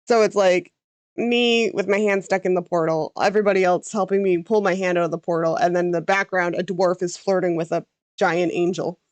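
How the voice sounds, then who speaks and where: A woman's voice: fairly low pitch, steady tone, brisk, no room sound. Canadian woman, casual drawing stream, rambling chat, dry close sound. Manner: chatty, thinks aloud, trails off mid-sentence. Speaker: VTuber artist streaming her drawing.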